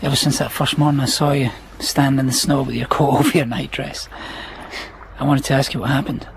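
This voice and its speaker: An actor playing a gentle, earnest countryman in love in a naturalistic radio drama. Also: medium pitch, fairly even intonation, a little fast, creaky, slight background noise, lightly acted. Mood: tender, soothing.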